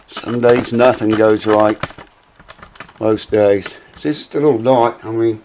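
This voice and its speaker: A man, hoarse and losing his voice, chatting casually to camera in a small home workshop. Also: on the low side, steady tone, slow pace, noisy background. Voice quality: raspy. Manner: rambling, folksy, swears casually. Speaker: guitar repair vlogger. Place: small cluttered home workshop with mounted animal heads, indoors.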